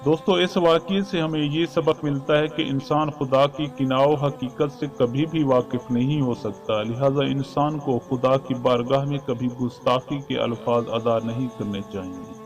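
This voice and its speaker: A man telling a scripted moral story as a host, in a formal, measured way that addresses listeners directly. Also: medium pitch, steady tone. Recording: muffled lo-fi voice over music. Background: background music.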